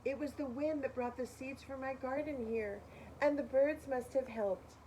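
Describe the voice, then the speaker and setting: A gentle, hopeful older heroine from a picture book, as read aloud by a woman. She speaks earnestly and exclaims with delight over her flowers. There is faint noise in the recording. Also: high-pitched, fairly even intonation, normal speed, pitched above the performer's own voice, natural voice, poor audio.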